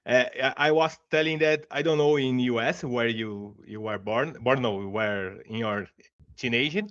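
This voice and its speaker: A man from Brazil with a Brazilian Portuguese accent, a Portuguese native speaker who hosts an interview over a video call, speaking haltingly with frequent restarts in a friendly tone. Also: medium pitch, fairly bright tone, smooth, no room sound. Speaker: podcast host. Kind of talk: casual interview hosting. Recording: dry, muffled video call audio.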